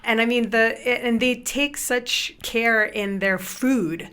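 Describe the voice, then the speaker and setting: A woman's voice: fairly high pitch, a bit bright, smooth. Chatty upbeat woman, Spanish native. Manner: chatty, upbeat, quick to laugh. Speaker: guest musician. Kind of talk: casual podcast chat.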